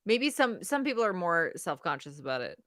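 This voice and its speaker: A woman co-hosting a podcast in casual chat, chatty and quick to laugh. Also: on the low side, big pitch swings, a bit bright, no room sound, clean studio sound. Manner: chatty, laughs often, mock whispers. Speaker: podcast co-host.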